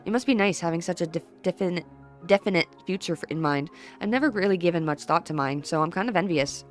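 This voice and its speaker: A woman's voice: on the low side, quick pace, faint music behind, close to an everyday voice. A woman voicing an awkward, earnest teenage schoolboy in a visual novel, speaking in a hesitant, friendly way.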